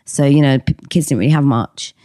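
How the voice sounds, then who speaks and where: A woman's voice: a bass voice, brisk, mellow, dry recording, studio quality. A middle-aged woman, chatting as a podcast guest, hesitant with many ums. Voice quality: husky voice. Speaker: podcast guest, actress and singer. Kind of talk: casual interview chat.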